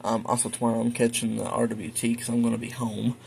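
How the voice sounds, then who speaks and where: A man hosting a hobby video, giving a casual, halting, informal show-and-tell narration. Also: medium pitch, little pitch movement, creaky, a little mellow, slight background noise. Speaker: video host, radio collector.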